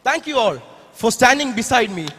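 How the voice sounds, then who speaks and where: A man with an Indian accent playing a smooth-talking, self-righteous politician in a stage drama, measured and pompous. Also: extremely high pitch, sing-song, pushed and bright, slight background noise, exaggerated voice. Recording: echoey hall, stage play.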